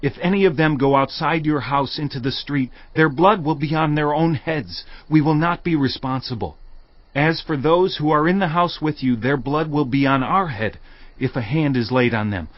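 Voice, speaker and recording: An actor playing a solemn, firm Israelite spy in a dramatized audio Bible, speaking in clear declarations. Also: male voice, on the high side, moderate pace, no room sound, natural voice. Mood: stern, solemn. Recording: dry, slightly dull audio.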